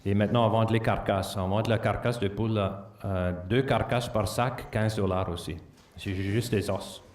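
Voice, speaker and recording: A farmer, a man whose first language is not French, answering audience questions in a plain, halting way. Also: lowish voice, fairly even intonation, darkish tone. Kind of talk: Q&A at a conference. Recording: echoey hall, distant mic.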